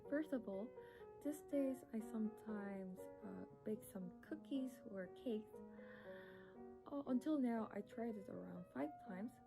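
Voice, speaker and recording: A woman's voice: fairly high pitch, poor audio. A young woman with a Korean accent, speaking English, carefully reciting a prepared self-introduction. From South Korea. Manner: careful, reciting a short list of facts. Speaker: violin competition finalist.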